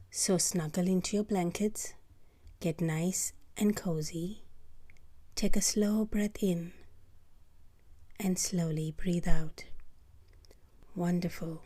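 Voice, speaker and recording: A woman with a mellow, fairly low voice leads a children's bedtime meditation. She speaks very slowly and gently, often slipping into a breathy half-whisper. Short phrases are set apart by unhurried, soothing pauses. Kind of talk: guided sleep meditation, soft scripted narration.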